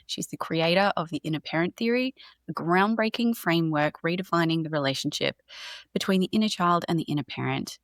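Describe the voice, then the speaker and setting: A woman hosting a podcast, reading an upbeat scripted intro and then interviewing with emphatic, drawn-out phrasing. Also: fairly low pitch, no room sound. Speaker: podcast host.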